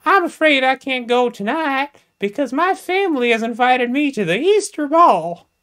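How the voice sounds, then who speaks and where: A woman's voice: sits high, expressive, moderate pace, fairly bright tone, pitched above the performer's own voice. Woman doing a coy genteel young lady. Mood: genteel sweet. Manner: old-fashioned genteel, hesitant and coy. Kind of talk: comic storytelling.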